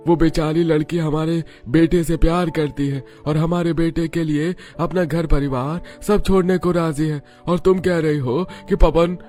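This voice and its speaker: A man's voice: a little high, a little mellow, lightly acted. A man playing a kind, protective father of a poor household in a cartoon melodrama.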